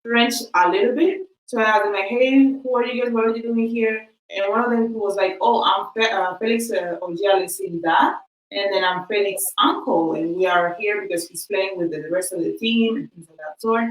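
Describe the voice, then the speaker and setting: A woman's voice: medium pitch, moderate pace, distant mic. Chatty Spanish-speaking woman, off-mic and roomy. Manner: chatty storyteller, acts out quoted exchanges. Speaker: podcast host. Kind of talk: casual podcast storytelling.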